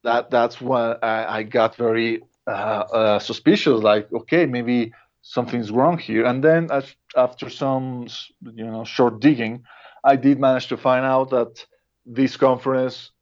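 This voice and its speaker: A man's voice: medium pitch, fairly even intonation, measured pace. Mexican-accented man, muffled lo-fi sound. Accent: Mexican Spanish. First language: Spanish. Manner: hesitant, many restarts and fillers, laughs often. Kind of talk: casual podcast conversation. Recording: dull lo-fi sound.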